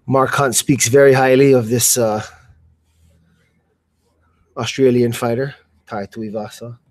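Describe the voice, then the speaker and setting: Regular pitched guy co-calling fights, casual and slangy, throws out stats and bro talk. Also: little pitch movement, measured pace, no echo. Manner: slangy, says man and bro. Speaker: fight co-commentator.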